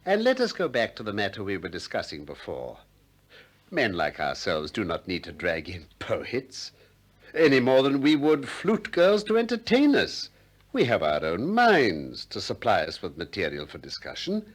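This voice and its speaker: An actor with a British accent playing a shrewd, politely persistent ancient Greek philosopher in a 1960s radio play, with measured, probing delivery. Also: male voice, lowish voice, wildly swooping pitch. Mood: earnest, probing. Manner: probing, courteous, measured questioning.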